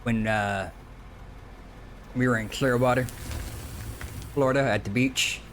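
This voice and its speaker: A man's voice: medium pitch, steady tone, takes it slowly, fairly bright tone, slight background noise. A man in his early forties chatting casually and informally as he streams a game. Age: early 40s. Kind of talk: casual chat while gaming.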